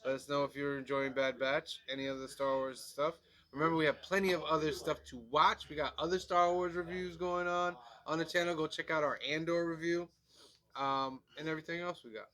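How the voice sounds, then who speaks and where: A man co-hosting a casual review chat, with a stuffy, nasal voice from allergies and a relaxed, chatty manner full of "um"s. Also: on the high side, fairly quick. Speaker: podcast co-host.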